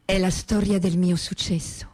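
An actress playing a reflective woman storyteller in radio theatre, speaking in a theatrical, deliberate manner. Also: fairly low pitch, little pitch movement, darkish tone, close to an everyday voice. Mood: intimate storytelling.

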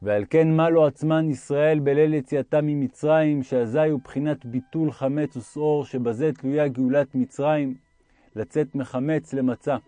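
Mellow-voiced man reading religious text, roomy echo. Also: on the high side, steady tone.

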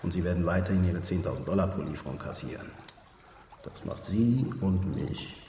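An actor playing a cynical, menacing smuggler boss in a radio drama, mocking and condescending. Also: male voice, deep voice, lively intonation, normal speed, warm tone. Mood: mocking, threatening. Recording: echoey reverberant room with background noise.

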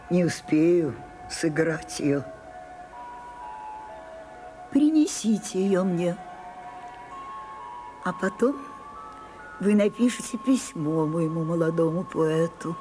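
A woman's voice: on the low side, dark tone. An older woman playing an elderly, imperious and wistful stage actress in a theatrical radio drama. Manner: slow, deliberate, theatrical delivery.

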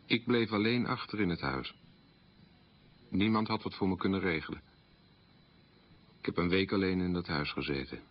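An actor playing a sombre man recalling an abandoned wartime childhood in short, plain statements, in a low, husky voice for a radio play. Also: full character voice. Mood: desolate. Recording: dull lo-fi radio recording.

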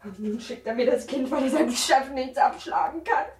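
Echoing, high-voiced, anguished young wife, played by an actress. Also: female voice, darkish tone, recorded from a distance, natural voice. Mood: sobbing disgust. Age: young adult. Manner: anguished, halting, emotionally raw. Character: distraught, disgusted young wife and mother, bitterly defiant. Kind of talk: German radio play acting.

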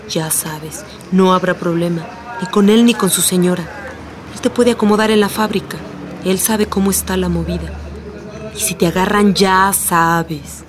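A woman's voice: lowish voice, natural voice. A woman with a Mexican accent acting in a radio play as a streetwise, protective schoolteacher, plain-spoken and matter-of-fact. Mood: matter-of-fact, advising. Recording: music bed behind the voice.

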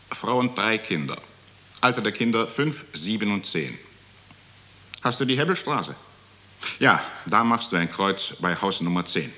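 Casting: a mocking, cold-blooded clerk in a 1960s radio play; slow, forceful, clipped instructions. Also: male voice, fairly low pitch, heavily acted. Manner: matter-of-fact, condescending, clipped instructions. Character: cold, mocking male bureaucrat of a deportation office. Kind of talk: 1960s German radio drama acting. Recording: 1960s radio studio recording.

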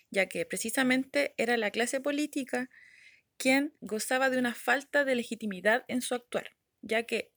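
Higher-pitched Chilean woman presenting an explainer. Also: no room sound, clean studio sound. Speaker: podcast presenter. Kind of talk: scripted informational explainer.

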